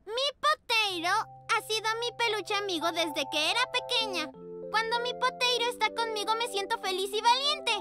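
A woman's voice: very high-pitched, normal speed. An actress voicing an earnest, eager little girl puppy in a dubbed children's cartoon, with music behind. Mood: proud. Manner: earnest, childlike, talks to her toy mouse. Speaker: main character. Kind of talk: cartoon dubbing.

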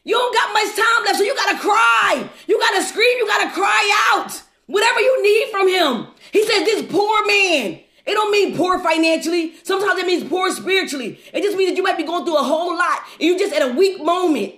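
A woman delivers an exuberant devotional sermon in a strikingly high-pitched voice. She exhorts fervently, repeating phrases and putting questions straight to her listeners. The sound is roomy, with a clear echo around her words. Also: animated, a little fast, hardly any pauses, a bit bright, shouting. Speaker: preacher leading a livestream devotional. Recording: echoey, reverberant room.

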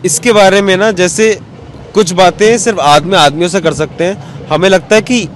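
A man's voice: high voice, normal speed. A man in his thirties answering conversationally as an interview guest outdoors, mixing in English words. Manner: mixes English phrases into his speech. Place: outdoor bench in an open courtyard. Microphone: handheld microphone held out by interviewer. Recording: faint outdoor urban noise.

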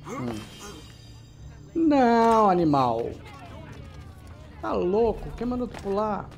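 A man's voice: high-pitched, very animated, takes it slowly, darkish tone, a little hiss or hum. A man with a Brazilian accent giving chatty, slangy commentary on a video game as he plays, talking straight to his viewers. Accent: Brazilian Portuguese. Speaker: gameplay commentator. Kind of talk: casual let's-play commentary.

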